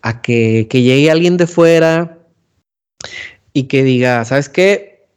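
A man in casual chat, slangy and animated, acting out quoted voices. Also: mid-range voice, slow pace, clear, resonant tone. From Monterrey, Mexico.

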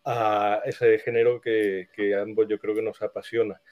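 A man with a Castilian Spanish accent giving thoughtful, halting answers as an interview guest, with frequent pauses. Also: lowish voice, level tone, muffled recording.

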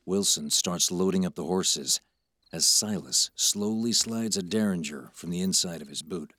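A man's voice: deep voice, normal speed, pushed and bright, slight background noise. A man reading scripted stage directions as the narrator of an audio drama.

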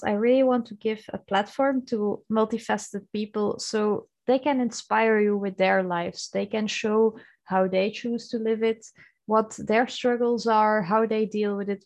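A woman's voice: mid-range voice, darkish tone, dry recording. Chatty Flemish woman podcast host. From Belgium. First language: Dutch. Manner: earnest, chatty, frequent fillers and restarts. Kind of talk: casual solo podcast monologue.

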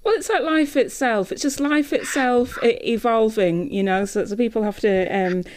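Female radio interviewer, slightly high voice, informal and chatty, agreeable with her guest. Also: moderate pace. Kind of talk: casual conversational interview.

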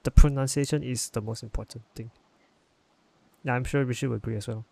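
Young malaysian guy, gravelly voice, laid back podcast chat, pauses with uh. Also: mid-range voice, no echo. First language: Chinese. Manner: relaxed, thoughtful, hesitant with "uh". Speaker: podcast co-host. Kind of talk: casual chat.

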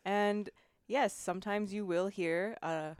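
A woman's voice: mid-range voice, steady tone, slow pace, clear, resonant tone, dry recording. A woman from Canada, co-hosting a casual podcast chat, easygoing and giggly. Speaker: podcast co-host.

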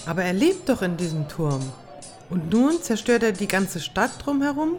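Fantasy comedy maiden, female actor: gentle, homely, naive, mid-range voice over prominent music. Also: medium pitch, lively intonation, normal speed, natural voice. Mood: troubled. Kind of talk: comedic fantasy audio drama acting.

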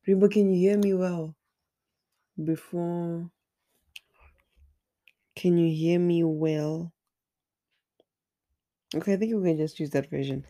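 A woman with a hoarse, raspy voice from a flu, earnestly leading an online Bible study and sometimes repeating words as she searches for the next one. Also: fairly low pitch, steady tone, slow pace, pauses often, dark tone.

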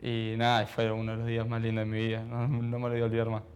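A man of about twenty with an Argentine accent, giving modest, halting answers as a footballer in a casual interview. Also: medium pitch, monotone, a little hiss or hum. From Argentina, childhood in Ecuador. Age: around 20. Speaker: professional footballer being interviewed.

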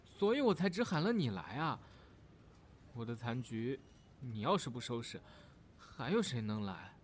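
Man acting a cocky, animated young troublemaker. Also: medium pitch, normal speed, a little hiss or hum, lightly acted. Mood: cheeky. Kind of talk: Chinese audio drama acting.

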